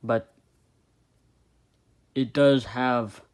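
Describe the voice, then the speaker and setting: A man giving a casual, unscripted radio hobby demonstration, halting and trailing off mid-thought. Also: mid-range voice, steady tone. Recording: loud shortwave radio static and noise. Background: radio hiss and static.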